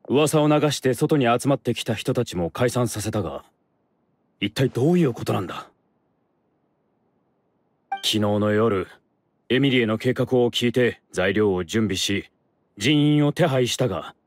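A man's voice: mid-range voice, a little mellow, close to an everyday voice. A man voicing a harried, puzzled helper in a game, talking in a blunt, casual, exasperated way. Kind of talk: Japanese game dub dialogue.

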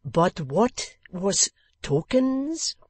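A reader doing a courteous French gentleman. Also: high-pitched, sing-song, husky, no echo, voice pushed up, heavily acted. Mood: puzzled. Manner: patient, courteous, halting English. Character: polite, puzzled foreign gentleman dinner guest.

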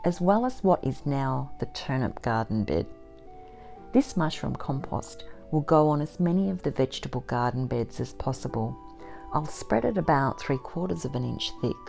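Low, mellow woman narrating her homestead. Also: vocal fry. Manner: gentle, measured and matter-of-fact. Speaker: homesteading vlogger. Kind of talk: calm scripted voice-over narration. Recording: voice-over with soft background music. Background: faint instrumental music.

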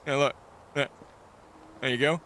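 A young man playing a co-op game, excitable and joking with mock outrage. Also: mid-range voice, unhurried. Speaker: co-op gaming YouTuber guest. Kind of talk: casual co-op gameplay chat.

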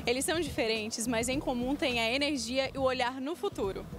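A woman narrates a television feature in a measured, clear news voice. Her pitch sits a bit high, and soft music plays under her. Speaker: TV magazine reporter.

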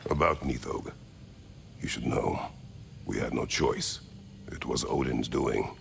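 An actor playing a stern, terse warrior god with a gravelly voice in a video game. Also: male voice, rumbling low, slow, a little hiss or hum, exaggerated voice. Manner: terse, solemn.

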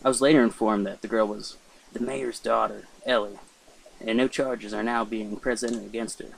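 A man's voice: lowish voice, fairly even intonation, moderate pace, gravelly, slight background noise, low-quality recording. A man playing a no-nonsense police chief in an amateur roleplay, curt and commanding. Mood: stern. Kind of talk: amateur roleplay audio drama.

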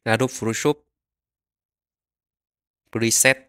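A man narrating a step-by-step software tutorial, explaining each click as he goes. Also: medium pitch, fairly even intonation, slow pace, clear, resonant tone.

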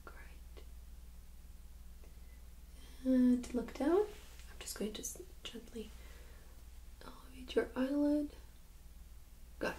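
A woman's voice: high voice, slow, pauses often. Whispering young woman, ASMR medical exam. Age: young adult. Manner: soft-spoken, mostly whispering, gently instructing. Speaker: ASMR creator playing a medical examiner. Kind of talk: ASMR medical role-play. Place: small indoor room set up as exam room.

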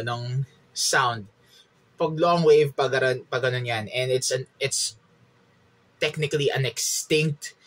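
A man's voice: medium pitch, a bit bright. A man in his thirties with a Filipino accent, a native Filipino speaker, explaining things casually and searching for words as he goes. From Philippines. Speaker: podcast host and radio hobbyist reviewer. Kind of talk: casual explanatory vlog, code-switching. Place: small room at home.

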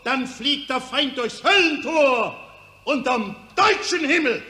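A fanatical patriotic poet declaims bombastic verse in a very high, swooping voice. The delivery is slow and exclamatory, in an old radio drama with roomy echo. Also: male voice, expressive, heavy background noise, heavily acted. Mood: bombastic, rousing. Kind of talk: 1940s German radio drama acting. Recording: roomy, echoing 1940s radio recording.

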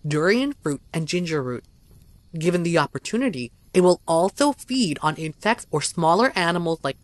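A narrator with a lively, rising-and-falling delivery reads a scripted documentary story. The voice sits close and dry, with no room sound around it. The reading is measured and even, with no slips. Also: medium pitch, dry recording.

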